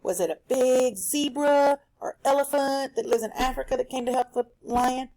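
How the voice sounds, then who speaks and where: A woman teaching a recorded reading lesson for children at home, explaining a story in an animated, explanatory way. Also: sits high, normal speed, rough recording.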